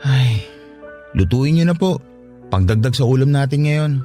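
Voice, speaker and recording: A man's voice: medium pitch, expressive, normal speed, mellow, soft background music, lightly acted. Man acting as a weary Filipino father. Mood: tired, plain. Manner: earnest, subdued, respectful. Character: weary middle-aged father, unlucky, worried, respectful son. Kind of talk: Filipino radio drama acting.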